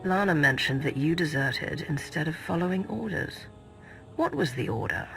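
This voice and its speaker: An actor playing a composed, confident Sith sorcerer in a video game, with a measured, assured manner. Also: male voice, a little high, lively intonation, gravelly, sharp tone, faint music behind, heavily acted. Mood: questioning. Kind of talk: video game cutscene acting.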